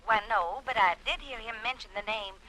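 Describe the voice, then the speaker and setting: A woman's voice: on the high side, fairly quick, sharp tone. A woman acting a timid, uneasy American housewife in a 1950s radio drama, hesitant and anxious. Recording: thin, faintly noisy old radio recording.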